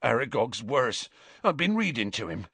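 A man voicing a huge, gruff, soft-hearted giant with a hoarse growl, worried, in an audiobook. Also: medium pitch, big pitch swings, moderate pace, no echo, heavily acted. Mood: breathless, worried.

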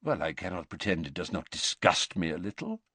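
Man voicing a calm, courteous old wizard. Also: low-pitched, breathy edge, no room sound, full character voice. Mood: cool disgust. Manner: measured, polite, gently wry.

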